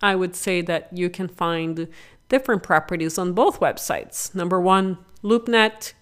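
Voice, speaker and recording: Middle-aged female podcast host, raspy from a recent illness, lower pitch, chatty and upbeat. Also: fairly bright tone. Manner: chatty, upbeat, informal asides. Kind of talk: solo podcast explainer.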